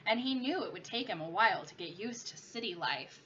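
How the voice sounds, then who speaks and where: A woman's voice: mid-range voice, expressive, fairly quick, bright, forceful tone. A woman, a teacher, reading a children's story aloud expressively. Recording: muffled lo-fi with clear background noise.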